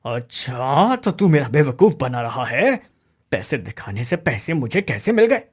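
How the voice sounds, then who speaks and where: A man's voice: mid-range voice, very animated, measured pace, darkish tone, breathy edge. A man voicing an angry, accusing, stingy sweet-shop owner in a cartoon story. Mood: outraged. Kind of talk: Hindi cartoon story acting.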